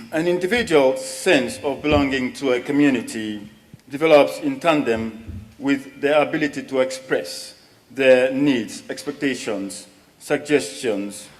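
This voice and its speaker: Middle-aged man from West Africa, measured formal reading, echoing hall. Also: medium pitch. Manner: measured, reading from notes at a lectern. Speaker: speaker at a parliament event. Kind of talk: formal prepared speech, bilingual. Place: large hall with long reverb. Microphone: lectern mic. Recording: long hall reverb.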